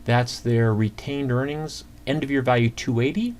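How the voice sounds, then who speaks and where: A man giving an informal screencast accounting lesson, talking through calculations step by step in a conversational, halting way. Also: medium pitch, a little mellow. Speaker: accounting instructor. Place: indoors at a computer. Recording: clear background noise, keyboard typing.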